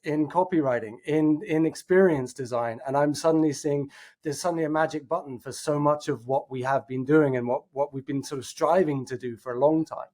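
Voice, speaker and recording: A man's voice: fairly high pitch, darkish tone. Earnest, thoughtful male interview guest. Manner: thoughtful, earnest, many "like" fillers and restarts. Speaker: guest, innovation executive. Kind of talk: casual interview chat.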